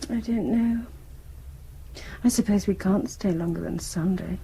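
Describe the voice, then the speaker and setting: A woman's voice: mid-range voice, measured pace, creaky, dark tone, slightly rough, heavily acted. An actress playing an anxious young British woman in a radio drama. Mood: sleepy, subdued. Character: soft, anxious young woman worried about her parents.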